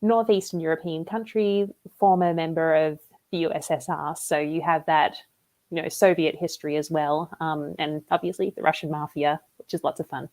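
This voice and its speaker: A woman with an Australian accent, a debut novelist talking about her book in a relaxed, chatty live interview over a video call. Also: low voice, normal speed. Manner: chatty and relaxed, with frequent "like" and "um" fillers.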